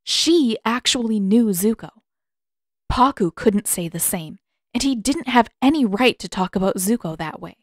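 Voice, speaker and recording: A woman's voice: a little high, lively intonation, measured pace, dry recording, clean studio sound. A woman reading a story as its narrator, in scripted audiobook style. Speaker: fan fiction author and narrator.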